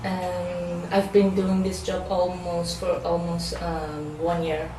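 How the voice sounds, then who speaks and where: A woman, Farsi her first language, answers spoken exam questions in English. She pauses often, searches for words and restarts her sentences. Her voice is slow and sits a little low, picked up from a distance in a roomy space. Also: monotone, noisy background. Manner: hesitant, many ums and restarts. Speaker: test candidate. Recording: echoey room, mic far off.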